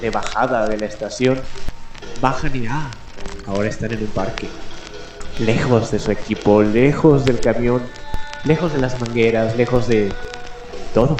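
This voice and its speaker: A man hosting a late-night mystery radio show, speaking in dramatic, deliberate phrases with pauses between them. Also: medium pitch, steady tone. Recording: echoey voice over background music. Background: music.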